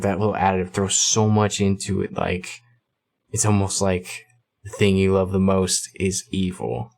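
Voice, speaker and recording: A man in his thirties giving casual, slangy reaction commentary, thinking aloud into a close mic in a small room. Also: low voice. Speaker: music reaction video host.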